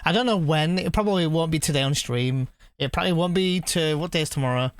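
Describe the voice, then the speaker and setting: Casting: male streamer, slightly high, very clear voice, rambling casual live chat. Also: fast, dry recording. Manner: rambling, musing aloud. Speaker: game streamer.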